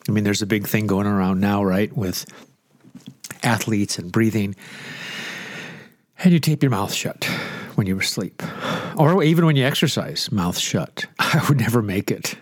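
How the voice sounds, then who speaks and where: A man's voice: on the low side, unhurried, pauses often, dry recording, clean studio sound. Congested man in loose radio chat. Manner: rambling, joking, self-deprecating. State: stuffy, congested nose. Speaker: radio show host and pastor. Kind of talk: casual off-the-cuff radio chat.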